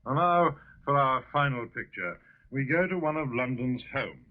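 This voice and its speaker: British bloke narrating old 1940s radio, medium pitch, muffled lofi recording. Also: male voice, animated, normal speed. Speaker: broadcast presenter. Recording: dull lo-fi 1940 radio recording.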